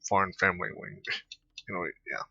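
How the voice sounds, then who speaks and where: A man doing casual gameplay commentary in a rambling, halting way, close to the microphone. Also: low voice, creaky, pushed and bright, breathy edge, dry recording. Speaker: gaming video creator. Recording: dull, close dry microphone.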